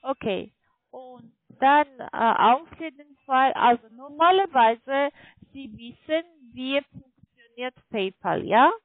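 A woman call centre agent with a foreign accent, not a native speaker, instructing a customer over the telephone in halting phrases. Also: high-pitched, animated, no echo. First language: not German. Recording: tinny narrowband phone line.

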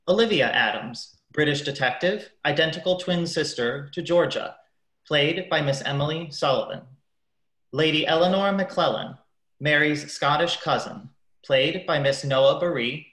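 A man narrating a scripted radio play in a measured, announcing manner. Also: on the high side, a bit bright. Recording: echoey room.

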